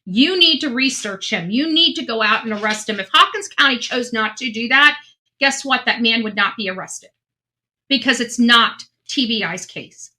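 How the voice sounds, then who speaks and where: A woman's voice: a little high, lively intonation, normal speed, sharp tone. A woman giving casual true crime commentary, earnest and emphatic, often restarting her sentences.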